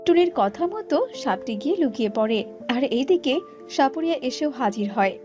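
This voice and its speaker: A woman's voice: high-pitched, lively intonation, moderate pace, lo-fi sound, music in the background. A woman narrating a fairy tale from a script as a storyteller.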